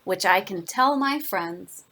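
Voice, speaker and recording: A woman's voice: medium pitch, lively intonation, pushed and bright, full character voice. Woman voicing a restless, grumbling storybook shape. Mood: confiding. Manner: drawn-out, grumbling and wishful. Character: restless, dissatisfied talking shape, always wanting more.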